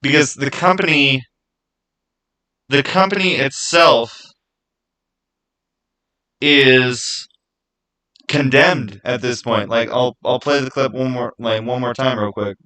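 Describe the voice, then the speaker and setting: A man chatting casually to viewers as a livestream host, earnest and rambling, with halting starts and repeated phrases. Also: medium pitch, sharp tone, low-quality recording. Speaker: streamer, channel host.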